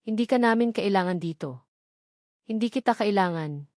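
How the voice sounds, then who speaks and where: A woman's voice: mid-range voice, animated, normal speed, no echo, studio quality, close to an everyday voice. A woman narrator playing a wounded, sarcastic young single mother with a Filipino accent in an audio romance drama. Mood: angry, defiant. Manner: cold, sharp, accusing.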